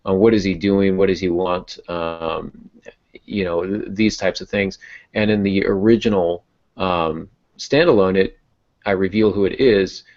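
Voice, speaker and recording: A man's voice: deep voice, fairly even intonation, a little slow, a little mellow. A man speaking casually on a podcast as a novelist, explaining and thinking aloud. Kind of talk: casual podcast discussion of writing. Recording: dull, lo-fi podcast audio.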